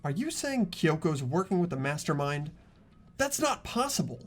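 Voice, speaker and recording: A man's voice: fairly high pitch, very animated, normal speed, soft background music. A man playing an earnest, anxious, kindly teenage boy protagonist in a fan game dub, with a hesitant manner. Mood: worried. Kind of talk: amateur game voice acting and narration.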